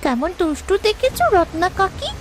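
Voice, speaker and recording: Village child, extremely high pitch, animated swings, feisty, complaining, defiant, cartoon acting. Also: female voice, moderate pace. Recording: music behind the voice.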